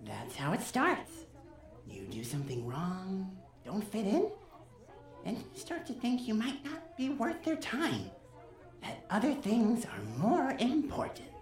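A woman's voice: medium pitch, big pitch swings, unhurried, a little mellow. A woman acting in an audio drama as an intense, persuasive captive child, speaking earnestly and urgently. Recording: roomy, echoing student audio drama.

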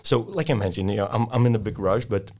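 A man in his forties from Poland with a Polish accent, a sales consultant talking casually and acting out an eager, rushed door-to-door pitch. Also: a little mellow. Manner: fast, eager salesman patter with frequent repeats. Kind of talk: casual interview, role-playing a sales pitch.

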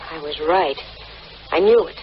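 An actress playing a brisk, arch, self-assured female private eye in a vintage radio detective drama. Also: deep voice. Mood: cool, teasing. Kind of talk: 1940s-50s radio detective drama, acting and narrating. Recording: muffled, noisy old radio recording.

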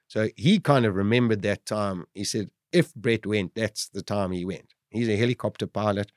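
A South African man in his fifties telling his own survival story in a loud, animated way. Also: dry recording, studio quality. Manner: loud, animated storytelling with big pitch jumps. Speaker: guest storyteller.

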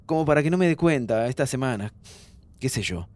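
An actor playing a calm, upbeat young Argentine man who is terminally ill and reassures his friend in casual, slangy speech, in an audio drama. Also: mid-range voice, fairly quick, no room sound, natural voice. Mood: reassuring, subdued. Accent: Argentine Rioplatense. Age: young adult. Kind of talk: naturalistic audio fiction acting.

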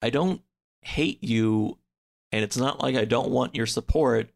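A man's voice: medium pitch, measured pace, gravelly. A man in a casual chat, retelling a story in a rambling way peppered with "like" and acting out the characters' lines. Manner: acts out characters' lines in quotes. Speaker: podcast guest.

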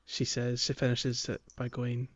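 A man's voice: medium pitch, completely flat delivery, a little slow. A man co-hosting a podcast, chatting casually about a video game. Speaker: podcast co-host. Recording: slightly dull, lo-fi microphone.

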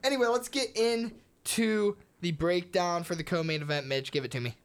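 A woman's voice: lowish voice, normal speed. A woman from the United States co-hosting a sports podcast, chatty and playfully teasing her co-host. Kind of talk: casual sports podcast chat.

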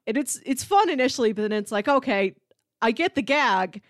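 A woman's voice: on the high side, expressive, brisk, no echo, clean studio sound. A woman co-hosting a casual, conversational discussion of films. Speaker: podcast co-host.

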